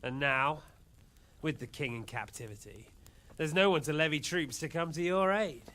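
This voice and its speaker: An actor playing a smug, cruel warlord who taunts his captive in a theatrical, mock-polite, deliberate way, as in a video game cutscene. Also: on the high side, bright, forceful tone. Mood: gloating, menacing.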